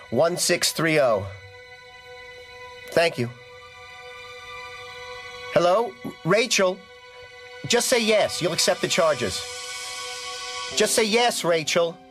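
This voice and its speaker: A man's voice: fairly high pitch, a bit bright. An actor with an American accent playing an anxious, distraught young man haunted by a curse in a horror audio drama. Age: young adult. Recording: music behind the voice.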